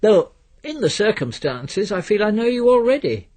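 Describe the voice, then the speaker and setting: A man's voice: high voice, sing-song, normal speed, darkish tone, pitched above the performer's own voice. A man voicing a breezy, over-familiar young warehouseman with jaunty, affected politeness in a storybook reading. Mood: chummy, hospitable.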